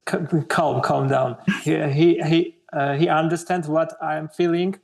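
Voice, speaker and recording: A man in his thirties from Armenia, speaking English as a second language with an Armenian accent, slowly searching for words with many hesitations as an interview guest. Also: on the high side, level tone, vocal fry, darkish tone. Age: 30s. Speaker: guest artist. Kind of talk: interview conversation.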